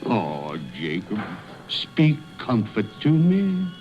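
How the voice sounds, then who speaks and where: A man's voice: lowish voice, huge pitch swings, extremely slow, exaggerated voice. An actor playing a miserly, cold-hearted old businessman, skeptical and fearful, in a theatrical, blustering radio drama style. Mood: pleading, meek. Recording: dull lo-fi recording with music behind.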